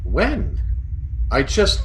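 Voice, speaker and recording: Deadpan space detective, played by a man, noisy audio. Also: on the high side, drawn-out words, exaggerated voice. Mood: dry, bemused. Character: eccentric male space detective who knits to think. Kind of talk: sci-fi satire audio drama. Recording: noisy video-call audio.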